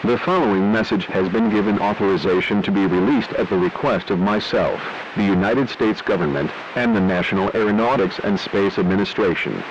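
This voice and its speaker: A man's voice: on the low side, brisk, hardly any pauses, natural voice. A man playing a US president who reads a formal, solemn emergency address over a lo-fi broadcast. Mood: grave, formal. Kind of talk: scripted emergency broadcast announcement. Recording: dull lo-fi radio broadcast, loud hiss.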